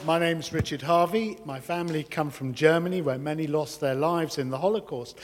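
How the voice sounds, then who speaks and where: A man from England, an author, delivering a prepared testimony in a fluent, measured way. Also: a little high, background noise. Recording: long hall reverb.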